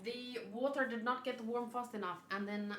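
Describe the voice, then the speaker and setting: A woman's voice: fairly high pitch, fairly even intonation, normal speed, fairly bright tone, a little hiss or hum. A young woman streamer chatting casually and rambling through a game reaction, with playful little exclamations. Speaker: gaming streamer. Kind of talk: casual livestream chat and reaction.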